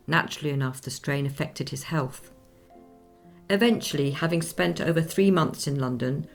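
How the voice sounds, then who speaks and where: A composed lady of the 19th century in a radio play. Her voice is low with a touch of gravel, and she recounts the past formally and with discretion. Music plays behind her. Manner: measured, formal, genteel storytelling.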